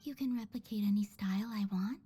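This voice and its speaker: A woman playing a gentle, polite, curious character in a video game. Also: medium pitch, fairly quick, breathy edge.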